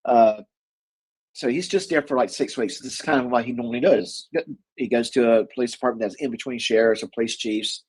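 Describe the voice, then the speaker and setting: A man's voice: mid-range voice, brisk, darkish tone, slightly rough, poor audio. A man co-hosting a casual show, summing up a movie with lots of fillers, restarts and laughs. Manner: rambling, many fillers and restarts; laughs often. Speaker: podcast co-host. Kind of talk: casual movie review chat.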